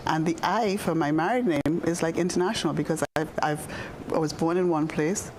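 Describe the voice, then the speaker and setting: A woman in her sixties from the Bahamas, a guest doctor telling her story in a studio interview, unhurried, with many fillers and restarts. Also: deep voice, a little mellow, slight background noise, poor audio. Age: 60s. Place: television studio.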